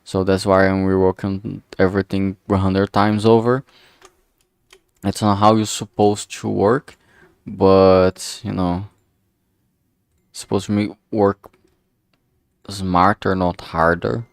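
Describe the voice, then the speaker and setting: A man thinking aloud in a halting way, chatting casually on a solo livestream as he works. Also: low voice, little pitch movement, vocal fry, clear, resonant tone, dry recording, clean studio sound. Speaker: streamer making a visual novel. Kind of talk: casual solo livestream chat while working.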